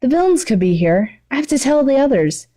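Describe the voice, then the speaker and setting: Story reading: earnest, nervous teenage boy hero, higher-pitched, stammery played by a woman. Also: big pitch swings, a little fast, mellow. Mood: anxious. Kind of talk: fan fiction read aloud.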